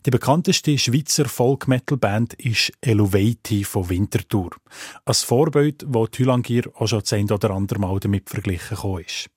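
Male radio reporter, Swiss German accent, rough-edged lowish voice, scripted delivery, dry sound. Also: a little mellow, no echo.

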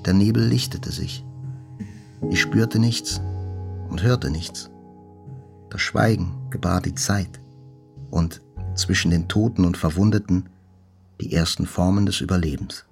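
Shaken survivor looking back, gravelly creaky voice, brooding and slow to land each phrase, radio drama with music under it. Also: male voice, low voice. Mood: brooding, reflective. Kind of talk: dramatic literary narration, radio play.

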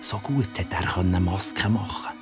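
A man's voice: sits low, lightly acted. An actor with a Swiss German accent playing a menacing, coughing father who tells a gruesome bedtime story in an audio drama. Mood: sinister, hushed. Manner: slow, obsessive, repeating words for menace. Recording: loud music under the voice.

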